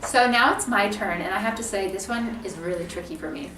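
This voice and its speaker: A woman's voice: mid-range voice, fairly quick, a bit bright, recorded from a distance, a little hiss or hum. Chatty young American woman, roomy sound. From United States. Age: young adult. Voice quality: slight difficulty with R sounds. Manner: chatty, laughing, playful teasing. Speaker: channel co-host, student. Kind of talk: casual chat to camera.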